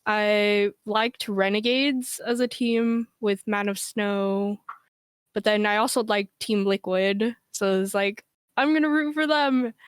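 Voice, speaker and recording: Slow, halting panelist with a feminine voice. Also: mid-range voice, steady tone, smooth, dry recording, studio quality. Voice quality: feminine-sounding voice. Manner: hesitant, many fillers and restarts. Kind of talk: casual panel discussion.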